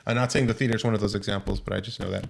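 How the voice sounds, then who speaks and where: A man's voice: on the low side, fairly even intonation, racing, gravelly. A man co-hosting a movie review podcast in casual chat, talking in a chatty, upbeat way with many fillers. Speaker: podcast host.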